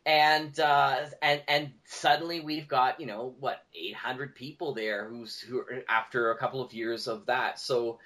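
A Canadian man is a guest on a podcast, talking casually about his own work as a producer. He rambles through stories, circling back and repeating phrases, in a mid-pitched voice. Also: steady tone, unhurried, few pauses, bright, forceful tone. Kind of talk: casual interview chat, anecdotes.

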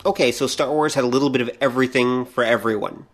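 A man's voice: mid-range voice, fast. A man co-hosting a podcast in a casual, conversational style. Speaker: podcast co-host. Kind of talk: casual chat, posing questions.

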